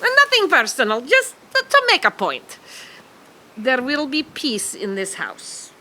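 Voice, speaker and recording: A woman playing an ancient, menacing and haughty witch in an audio drama, speaking in formal, archaic, deliberate phrases. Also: high-pitched, wildly swooping pitch, pushed and bright, full character voice. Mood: amused, threatening. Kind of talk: audio fiction acting.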